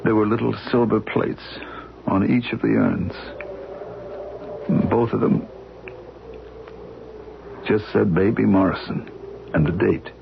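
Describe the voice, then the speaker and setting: An actor playing a gentle grieving husband who speaks in a quiet, halting way, in a 1970s radio drama on a dull, muffled old recording. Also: male voice, on the low side, steady tone, creaky, noisy background, exaggerated voice.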